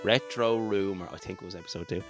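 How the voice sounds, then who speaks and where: Man, Irish accent, low-pitched, podcast co-host, informal game narration, background music. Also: fast talker. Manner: informal, sweary. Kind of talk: casual chat, narrating a game playthrough.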